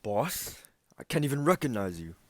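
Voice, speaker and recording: A man playing a smug, defiant hired gunman in an amateur radio play, taunting in a drawn-out way. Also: mid-range voice, very animated, no room sound, lightly acted. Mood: confused.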